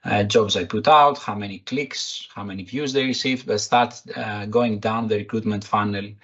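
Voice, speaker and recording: A man with a Greek accent, a recruitment technology executive answering interview questions in a halting way, full of fillers and restarts. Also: fairly low pitch, fairly even intonation, fairly bright tone, husky, dull audio. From Greece. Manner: hesitant, many fillers and restarts.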